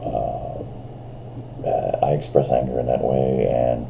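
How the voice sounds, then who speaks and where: A man in his fifties talks straight to his camera in a loose, rambling vlog, stumbling over fillers and restarting his sentences. His voice is extremely deep and gravelly, with a big, loud delivery. The recording is dull and lo-fi, with faint noise behind him. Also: steady tone, slow pace. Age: 50s. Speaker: vlogger. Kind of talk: rambling casual vlog monologue. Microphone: camera on a screen in front of him.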